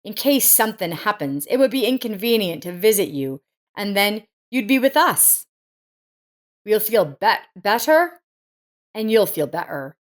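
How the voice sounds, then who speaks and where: A woman's voice: mid-range voice, very animated, unhurried. Woman reading as a coaxing grown son. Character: persuasive, earnest adult son.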